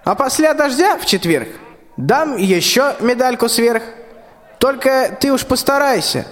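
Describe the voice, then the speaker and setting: A grumpy, sly tsar played by a young man declaims rhymed radio-play verse. The voice is very high and slow, with big swoops of pitch. It complains in a querulous drawl. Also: animated. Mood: wheedling. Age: young adult. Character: grumpy, sly tsar, doting but exasperated father. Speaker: school student actor. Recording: long hall reverb.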